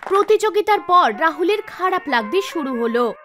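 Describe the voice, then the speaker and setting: Children's story narrator, female, extremely high voice, scripted read over background music. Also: expressive, normal speed, fairly bright tone, a faint crowd. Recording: music behind the voice.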